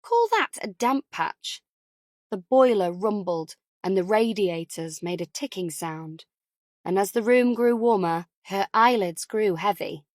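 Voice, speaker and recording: A woman's voice: mid-range voice, a little slow, a little mellow, no echo, studio quality. A woman reading a thriller novel as a scripted audiobook narration.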